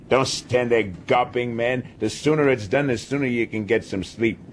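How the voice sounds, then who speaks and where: An actor playing a domineering, philosophical ship's captain who taunts and commands in a radio drama. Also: male voice, mid-range voice, measured pace, slight background noise, natural voice. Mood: commanding. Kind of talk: broadcast radio drama acting.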